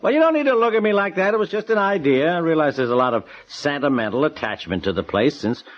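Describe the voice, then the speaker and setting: A man's voice: a little high, animated, quick pace, lightly acted. An actor playing a wry, exasperated husband trying to placate his family in a 1950s radio drama. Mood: reasoning. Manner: wry, dismissive, reasoning. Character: exasperated, placating middle-aged family man. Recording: dull vintage 1950s radio recording.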